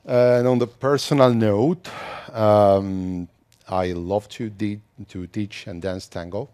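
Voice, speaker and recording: Argentine man giving a relaxed tech talk. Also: fairly low pitch, slow pace, clear, resonant tone. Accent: Argentine Spanish. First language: Spanish. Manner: relaxed, conversational, with many restarts. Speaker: conference speaker. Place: conference hall, indoors.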